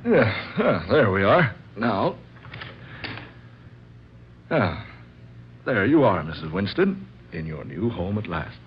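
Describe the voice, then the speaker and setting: A low-voiced husband in an old radio drama. He is earnest and melodramatic, devoted on the surface and scheming underneath. The recording is dull with a faint noise. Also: very animated, slow, long pauses. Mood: playful. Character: scheming husband Harvey, outwardly devoted, turning anxious.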